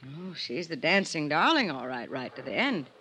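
An actress playing a resentful, folksy spinster housekeeper with clipped, sardonic talk in an old-time radio drama. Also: female voice, low-pitched, very animated, normal speed, bright, forceful tone, breathy edge, full character voice. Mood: bitter, resentful.

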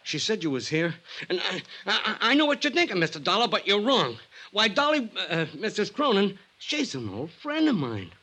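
A nervous, aging American ex-con in a vintage radio mystery. His high voice jumps around as he stammers in uneducated street slang, with a bright, tense edge. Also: sing-song, normal speed, exaggerated voice. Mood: anxious, earnest. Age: older. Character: nervous aging small-time ex-con entertainer, sweet on old flame. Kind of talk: 1950s American radio drama acting.

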